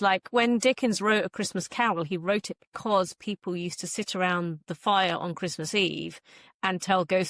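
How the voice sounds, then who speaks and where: A woman's voice: medium pitch, a bit bright, dry recording. A woman chatting casually as an interview guest, with a wry, self-deprecating sense of humour. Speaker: guest author.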